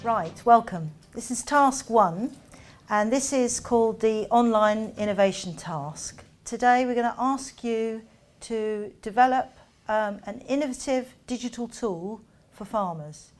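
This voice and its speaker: Brisk middle-aged woman judge. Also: a little high. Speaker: competition judge. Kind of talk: addressing contestants.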